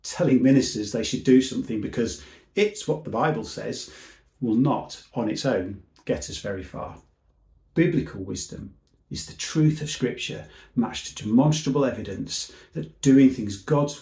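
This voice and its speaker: Gravelly-voiced man giving a measured talk. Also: mid-range voice, dark tone, echoey room. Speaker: civil servant. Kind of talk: prepared seminar talk.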